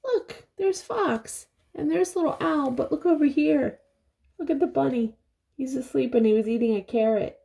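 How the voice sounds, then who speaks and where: An older woman reading a picture book aloud to young children, pausing to explain things and ask simple questions. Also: high voice, vocal fry. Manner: expressive, simple explanations and questions for little listeners.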